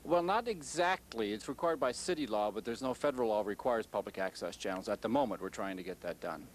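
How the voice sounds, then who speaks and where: A man's voice: on the low side, lively intonation, a little fast, slight background noise. A man in his fifties, a station representative, giving careful, slightly hesitant answers in a live interview. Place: indoors in a TV studio. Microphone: handheld stick microphone.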